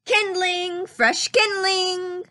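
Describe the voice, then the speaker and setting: A woman voicing a cheerful, humble young male mouse peddler in a children's storybook, high and exclamatory. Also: little pitch movement, very slow, sharp tone, no room sound, clean studio sound, full character voice. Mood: hawking, upbeat. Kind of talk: children's storybook read-aloud.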